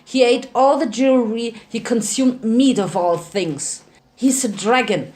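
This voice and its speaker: A woman's voice: fairly high pitch, a little slow, darkish tone. Woman narrating a dramatic story. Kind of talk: dramatic reading of fan fiction.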